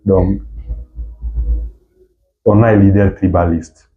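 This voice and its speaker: A man from Goma with a Congolese accent, giving halting political answers in an interview, often repeating and rephrasing himself. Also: low voice, steady tone, soft background music. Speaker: activist guest being interviewed. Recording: dull, muffled lo-fi sound.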